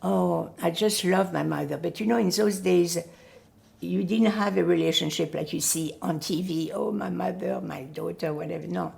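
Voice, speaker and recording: An older woman whose first language is Yiddish, recalling her family in an oral history interview, pausing often to search for words. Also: on the low side, gravelly, darkish tone. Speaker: Holocaust survivor giving testimony.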